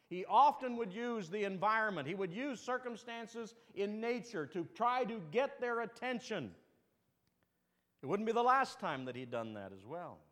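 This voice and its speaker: A man with a high voice preaches in a church sanctuary, his words ringing with a long hall reverb. He tells folksy stories and jokes, wanders into asides, then circles back to his point. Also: a bit bright. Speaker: pastor. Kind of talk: sermon.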